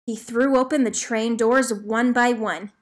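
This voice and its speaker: A woman reading a children's storybook aloud in an animated, deliberate way. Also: on the high side. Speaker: storybook reader. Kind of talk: expressive children's read-aloud narration.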